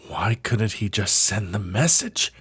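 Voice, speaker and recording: Low-voiced man narrating a suspenseful drama. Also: big pitch swings, a bit bright, breathy edge. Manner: suspenseful, theatrical pauses. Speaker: narrator and host of an interactive audio drama. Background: music.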